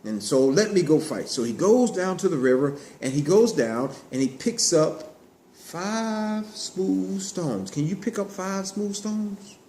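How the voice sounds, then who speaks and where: A man telling a Bible story to children in an animated way, in simple words with repeated phrases and questions. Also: high voice, slow. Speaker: storyteller, puppet show host. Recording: roomy echo, clear background noise.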